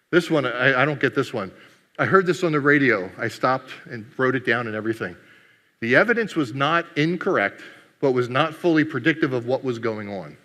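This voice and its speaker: A man's voice: medium pitch, a bit bright. A man giving a humorous keynote talk at a conference, joking with the audience. His first language is Greek. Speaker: conference speaker. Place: conference hall. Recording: long hall reverb.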